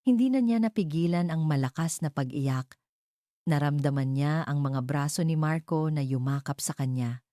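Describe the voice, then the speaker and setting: Low, mellow woman narrator. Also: moderate pace, no room sound, clean studio sound. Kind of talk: dramatic scripted love-story narration.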